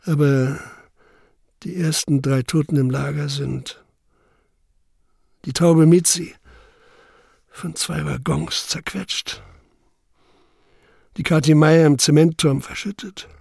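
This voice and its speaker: An actor playing a young labor camp survivor who narrates in the first person, sober, measured and intense. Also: male voice, a little high, steady tone, vocal fry, darkish tone, husky, no echo, clean studio sound, exaggerated voice. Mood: grim.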